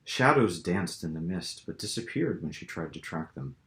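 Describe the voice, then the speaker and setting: Low-voiced man reading fiction, roomy sound. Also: sing-song, moderate pace, fairly bright tone. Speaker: author reading own novel. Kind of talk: dramatic audiobook-style narration. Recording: roomy, echoing room sound.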